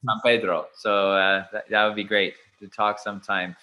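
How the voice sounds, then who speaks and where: Effusive male conference host, bright voice. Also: lowish voice, level tone, muffled recording. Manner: effusive, halting with many fillers. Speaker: event host. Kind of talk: online conference hosting.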